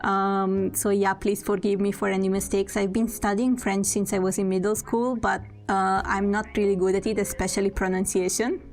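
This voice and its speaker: A woman's voice: mid-range voice, little pitch movement, normal speed, hardly any pauses, darkish tone, faint music behind. A young woman from Italy with an Italian accent, introducing herself on a live stream in a casual, apologetic way, laughing often. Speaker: VTuber streamer.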